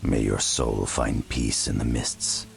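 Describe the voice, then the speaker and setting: A gruff holy-order soldier from a fantasy game. His extremely low, creaky voice is solemn and formal, with grave weight on his words. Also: steady tone, slow pace, soft background music, exaggerated voice. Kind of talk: video game cutscene acting.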